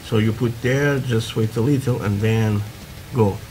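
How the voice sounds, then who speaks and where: Man, Portuguese accent, non-native English, slow, broken phrasing, workbench tutorial. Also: fairly low pitch, fairly even intonation, darkish tone, slight background noise, poor audio. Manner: halting, many fillers, broken phrasing. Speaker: scale model builder. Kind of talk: casual hobby tutorial talk. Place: indoor hobby workbench. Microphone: camera over work desk, hands only.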